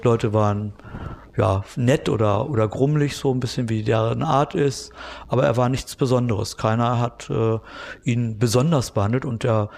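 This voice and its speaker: Male interviewee, medium pitch, casual unscripted recollection. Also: moderate pace, creaky, darkish tone. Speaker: interviewee recalling the past. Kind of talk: casual spontaneous interview talk.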